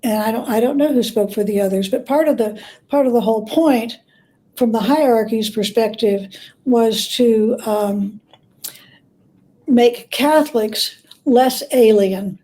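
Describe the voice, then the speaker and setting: Higher-pitched, mellow-voiced woman guest expert. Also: a little slow. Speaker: guest expert, biographer and scholar. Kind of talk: conversational interview, explaining history.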